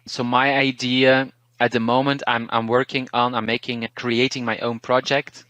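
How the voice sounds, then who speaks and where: A man from Belgium with a Belgian Dutch accent whose first language is Dutch, a language teacher talking through learning tips in a relaxed, thoughtful way, pausing and restarting words. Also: medium pitch, smooth, no echo. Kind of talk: interview, casual advice.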